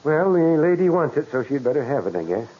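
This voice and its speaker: An actor playing a skeptical, mild-mannered doctor and indulgent husband in a 1940s radio mystery, wry and a little hesitant. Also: male voice, on the high side, fast, slightly rough. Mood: wry, resigned. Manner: wry, hesitant, lively intonation. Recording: dull old 1940s radio recording.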